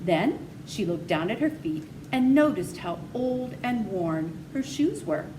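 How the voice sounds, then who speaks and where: A woman's voice: fairly high pitch, very animated, measured pace, warm tone. A woman narrating a children's story, reading aloud from a book. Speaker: storybook reader. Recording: noisy, distant microphone pickup.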